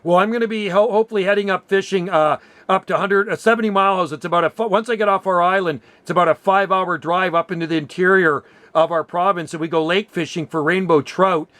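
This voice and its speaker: A man with a high-pitched voice chats over amateur radio, sounding close and dry. He is enthusiastic and talkative, telling things in an easygoing, exclamatory way. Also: fairly even intonation, fast talker, few pauses, a bit bright, no room sound. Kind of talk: casual radio chat.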